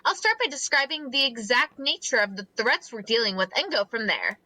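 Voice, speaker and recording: Casting: woman playing officious young commander for a comic, high-pitched, animated, brisk and bossy. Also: exaggerated voice, low-quality recording. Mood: commanding. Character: brisk, bossy young military-minded leader. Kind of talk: dramatic reading of a comic.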